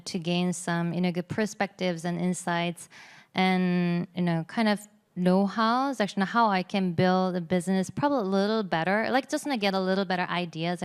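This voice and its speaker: A woman in her forties speaks English with a Korean accent, her voice smooth and clear. She talks casually on a conference panel, with loose phrasing, many "you know"s and a self-deprecating touch. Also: lowish voice. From South Korea. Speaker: game company executive. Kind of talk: panel discussion.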